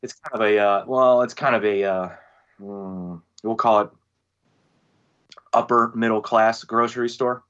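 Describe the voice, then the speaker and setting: Bright-voiced joking man on a podcast. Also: on the low side, unhurried, pauses often, muffled recording. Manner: animated, joking, mock-indignant storyteller. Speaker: podcast co-host. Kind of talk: casual podcast chat. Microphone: remote call mic.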